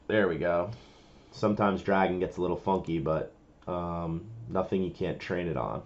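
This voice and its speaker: Male tutorial presenter, lowish pitch, informal and explanatory, walking through steps, on a somewhat muffled lo-fi recording. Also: moderate pace. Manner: informal, explanatory, talking through each step. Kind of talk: casual step-by-step screen-recording tutorial.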